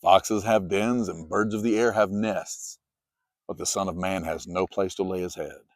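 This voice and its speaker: Guy doing calm holy teacher from the bible, measured and firm, lowish, dry sound. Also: no room sound, natural voice. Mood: grave. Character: calm, authoritative adult male holy teacher.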